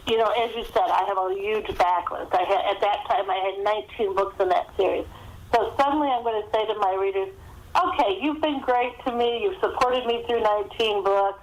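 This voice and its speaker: A woman's voice: medium pitch, moderate pace. A woman speaking as a guest author, giving conversational interview answers over a thin phone connection. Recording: thin phone-line sound.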